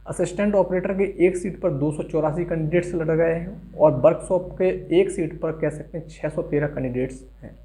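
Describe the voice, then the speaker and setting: A man's voice: a little high, warm tone, rough recording. A man giving an instructional exam briefing straight to his viewers, mixing English terms into his speech. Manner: instructional, addresses viewers directly. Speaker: exam-preparation YouTube host.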